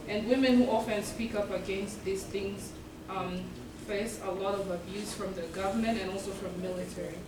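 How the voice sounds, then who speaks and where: A young woman who grew up in East Africa gives a student presentation, earnest and measured, with many ums between her points. She sounds a little far from the mic in an echoey room, with clear noise behind her. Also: medium pitch, steady tone. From South Sudan and Uganda. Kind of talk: presentation talk. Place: event room.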